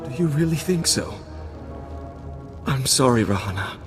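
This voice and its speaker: A man's voice: medium pitch, animated, measured pace, natural voice. A man voicing a solemn Jedi knight in reverb. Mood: softened, earnest. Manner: solemn, formal, weighty delivery. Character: stern, conflicted middle-aged Jedi knight, long lovelorn. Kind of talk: dramatic video game acting. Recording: long hall reverb, music behind.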